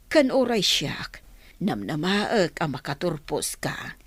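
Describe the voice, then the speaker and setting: A woman's voice: fairly low pitch, sing-song, takes it slowly, slightly rough, no room sound, exaggerated voice. A woman playing an encouraging, expectant aunt in a radio drama, speaking with an Ilocano accent.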